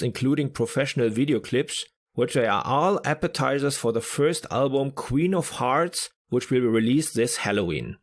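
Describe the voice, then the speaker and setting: A man presents his rock podcast in a scripted, enthusiastic way. His voice sits at an ordinary middle pitch, and his delivery stays upbeat and eager throughout. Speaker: podcast host.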